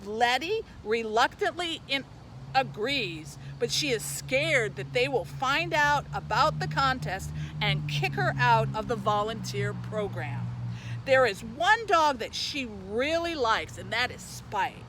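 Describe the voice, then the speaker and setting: A woman's voice: fairly high pitch, lively intonation, slow, noisy background. A woman speaking as a youth librarian, presenting and reading a children's book aloud, recorded on location.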